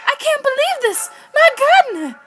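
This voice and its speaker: A woman's voice: very high voice, very animated, fairly quick. A woman acting a brash, defensive gossiping housewife in a theatrical, slangy 1940s radio drama style. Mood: upset, defensive. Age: teens. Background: music.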